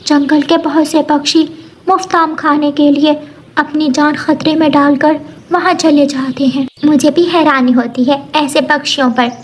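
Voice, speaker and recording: A woman's voice: high voice, fairly even intonation, unhurried, a little hiss or hum. A woman voicing a friendly, chatty female parrot neighbour in a cartoon.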